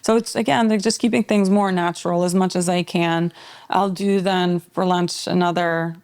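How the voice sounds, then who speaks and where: Albanian-born woman chatting as a guest, pretty monotone, lots of like and um, gravelly edge. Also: on the low side, moderate pace. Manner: relaxed, rambling with frequent filler words. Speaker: podcast guest, fitness trainer. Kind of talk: casual conversational interview.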